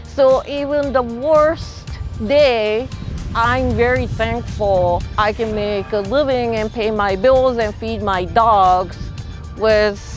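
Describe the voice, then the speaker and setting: Slow-spoken woman with a Japanese accent. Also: on the high side. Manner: relaxed, thoughtful, frequent fillers like "you know" and "like". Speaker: freelance illustrator. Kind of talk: interview-style talk to camera. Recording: soft music behind.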